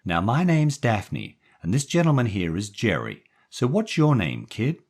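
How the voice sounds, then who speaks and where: A man voicing a gangster's girlfriend who coaxes in a high-pitched voice. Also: wildly swooping pitch, measured pace, husky, exaggerated voice. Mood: coaxing.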